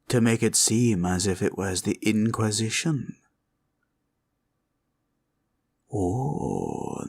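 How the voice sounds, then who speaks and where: A man voicing a sly, greedy green dragon villain in a fantasy roleplay, with a husky growl and taunting, drawling delivery. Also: on the low side, darkish tone, exaggerated voice. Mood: pleased, scheming. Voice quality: gravelly, husky, breathy growl. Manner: slow, drawling, taunting, archaic turns of phrase.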